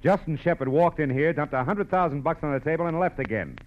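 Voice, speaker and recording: An actor playing a tough, shrewd private detective in a classic radio drama, with a wry, clipped, slangy delivery. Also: male voice, a little high, expressive, quick pace, lightly acted. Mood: urgent. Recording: muffled noisy old radio recording.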